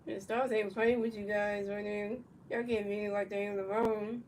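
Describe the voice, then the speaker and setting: Monotone woman calling a baseball game. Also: medium pitch, normal speed. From United States. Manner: repetitive, stumbling calls, talks to chat viewers. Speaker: video game play-by-play commentator. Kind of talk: casual play-by-play commentary of a baseball video game. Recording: distant mic with faint background noise.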